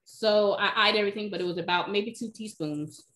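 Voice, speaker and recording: A woman in her thirties answering cooking questions in a relaxed, chatty way on a video call from her home kitchen. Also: mid-range voice, a little fast. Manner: relaxed, chatty, explains by eye. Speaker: cooking demonstrator, recipe blogger. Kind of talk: casual live Q&A. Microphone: video call, webcam.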